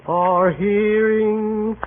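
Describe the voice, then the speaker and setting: A woman singing slowly in a 1930s radio play. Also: medium pitch, fairly even intonation, a little hiss or hum. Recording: muffled, band-limited 1930s radio broadcast. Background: faint music.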